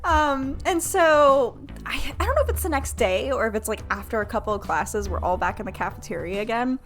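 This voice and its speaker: American woman, streamer, casual confessional storytelling, very animated intonation, slangy and profane, self-mocking, light background music. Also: on the high side, brisk. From United States, Florida. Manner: animated, self-mocking, acts out quoted dialogue; profane, slangy, heavy use of "like". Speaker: streamer telling personal stories to her chat. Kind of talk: casual, candid storytelling to a live chat.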